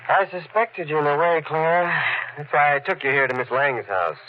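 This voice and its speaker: An actor playing a suspicious, commanding doctor in a stern, dramatic 1940s radio thriller style. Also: male voice, fairly high pitch, moderate pace, natural voice. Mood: accusing. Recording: very noisy, muffled 1940s radio recording.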